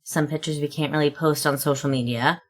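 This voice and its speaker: A woman in her thirties hosts a casual podcast in a low-pitched voice. She chats easily and teases her co-host in a playful way. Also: steady tone, brisk. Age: 30s. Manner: playful, teasing. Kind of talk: casual chat.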